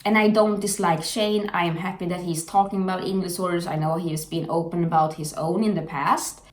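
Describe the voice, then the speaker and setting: A woman's voice: lowish voice, steady tone, a little fast. A young woman from Sweden with a Swedish accent, giving candid, blunt personal commentary in a vlog. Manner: frank, blunt, earnest. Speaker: vlogger. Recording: echoey room with faint noise.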